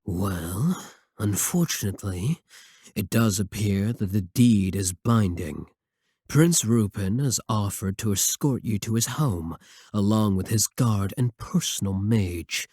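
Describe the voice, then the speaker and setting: A man playing a courtly, devoted vampire king in a romantic ASMR roleplay, speaking in a measured, formal and gently intimate way. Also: lowish voice, lively intonation, breathy edge, dry recording, clean studio sound, full character voice. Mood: solemn, loving.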